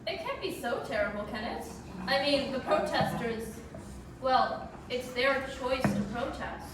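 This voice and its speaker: A young woman acting a sharp-tongued, sarcastic wartime mother in an amateur radio drama, bickering and exasperated. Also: high-pitched, measured pace. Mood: defensive. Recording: echoey room, distant mic, noisy.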